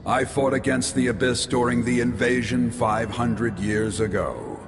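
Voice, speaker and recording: An actor playing a wise, battle-scarred ancient warrior in a video game, gravelly, measured and solemn. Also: male voice, mid-range voice, lightly acted. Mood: solemn approval. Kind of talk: video game character acting.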